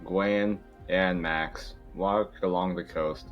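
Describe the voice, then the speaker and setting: A man's voice: low voice, fairly even intonation, takes it slowly, faint music behind. A man hosting and narrating an amateur table read, informal and sometimes stumbling over the script. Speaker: host and narrator.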